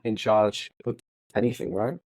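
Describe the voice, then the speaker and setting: A man hosting a podcast interview, asking questions and encouraging his guest with soft backchannels. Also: on the low side, fairly even intonation, moderate pace, no echo. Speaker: podcast host.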